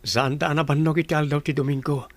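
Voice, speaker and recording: A man's voice: fairly high pitch, fairly even intonation, quick pace. A man acting a devoted, tender young suitor in an Ilocano radio drama. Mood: affectionate.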